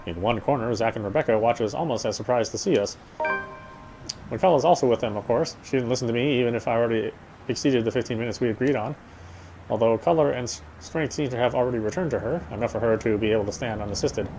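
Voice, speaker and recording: A man's voice: medium pitch, fast, a little mellow, low-quality recording. A man reading a horror game's story aloud as dramatic narration, sometimes stumbling and rereading phrases. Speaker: game player reading story text aloud. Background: game music.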